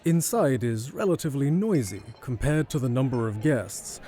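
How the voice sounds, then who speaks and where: A man's voice: mid-range voice, big pitch swings, normal speed, mellow. A man giving scripted third-person narration as the storyteller of an audio drama.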